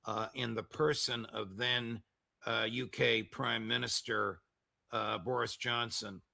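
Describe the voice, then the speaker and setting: A man asks interview questions very slowly, pausing often on fillers. His voice is gravelly, with a sharp, bright tone. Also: medium pitch, fairly even intonation. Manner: halting, with many filler pauses.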